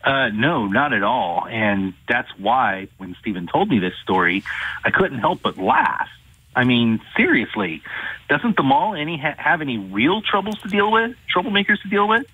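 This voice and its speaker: A man, an attorney, calling in to an interview and recounting a case in a measured way. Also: medium pitch, very animated, breathy edge, dry recording. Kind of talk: phone-in interview. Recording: tinny phone line.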